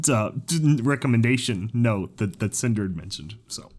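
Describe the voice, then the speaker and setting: Slow, low-voiced man thinking aloud. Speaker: voice actor recording lines. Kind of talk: casual asides between rehearsed takes.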